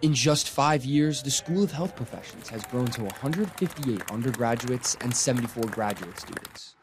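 A man's voice: medium pitch, lively intonation, moderate pace, crowd noise, background noise. A young adult man with an American accent reading scripted narration as a student TV news reporter.

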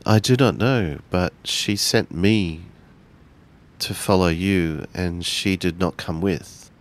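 A man with a very low voice narrates his part in a tabletop role-playing game. He speaks slowly and in measured, halting pieces with pauses between them.

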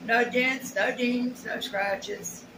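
A woman's voice: on the high side, fairly even intonation, takes it slowly. Folksy middle-aged woman, echoey room. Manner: folksy, rambling, muttering asides. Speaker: haul vlogger. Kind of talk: casual unboxing chat. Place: small bare room at home. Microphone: camera or phone a short distance away. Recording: roomy echo, clear background noise.

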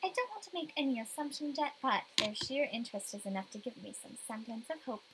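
An adult woman reading expressive first-person narration from a visual novel script. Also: high voice, quick pace, noisy background. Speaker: player voicing a visual novel.

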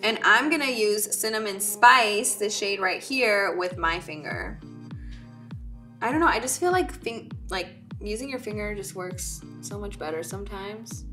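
Woman, 30s, upbeat teasing chat, bright tone, soft music behind. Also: medium pitch, animated, unhurried. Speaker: makeup brand owner and host. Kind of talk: casual get-ready-with-me chat.

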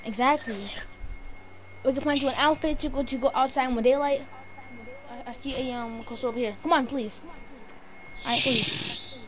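A woman's voice: high voice, steady tone, moderate pace, long pauses, crowd noise, natural voice. A woman playing a pleading young woman who coaxes urgently and hesitantly in an amateur game roleplay film. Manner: hesitant, urgent, repetitive coaxing. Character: pleading young woman coaxing and luring a vampire.

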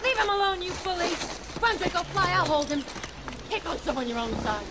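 A woman's voice: extremely high pitch, very animated, brisk, background noise. An actress playing a spirited young village woman, curious and outspoken. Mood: scolding. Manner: curious, then sharp and scolding.

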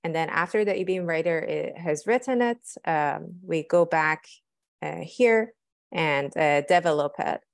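A young woman scientist walking through a cleanroom fabrication lab, explaining the tools with many fillers and pauses. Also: low voice, gravelly, no echo, studio quality. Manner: hesitant, many fillers, technical. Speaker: lab scientist giving a tour.

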